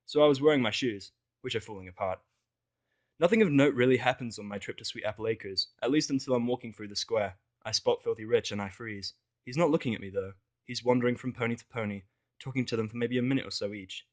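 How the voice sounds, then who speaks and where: A man reading a scripted first-person fan fiction story as its narrator. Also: medium pitch.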